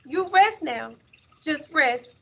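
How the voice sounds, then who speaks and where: A woman's voice: high-pitched, lively intonation, slow pace, gravelly, a bit bright, voice pushed up, exaggerated voice. A woman reading a storybook aloud, voicing an earnest, tender-hearted young boy with a pleading, exclaiming delivery. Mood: tender grief. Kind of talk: storybook read-aloud character voice.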